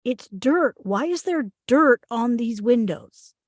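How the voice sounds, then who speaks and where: A woman's voice: on the high side, animated, slow, no echo, clean studio sound. A woman reading the part of Carrie, a skeptical, bossy girl who keeps firing back questions. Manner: questioning, sharp retorts. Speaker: table read actor. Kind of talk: amateur table read.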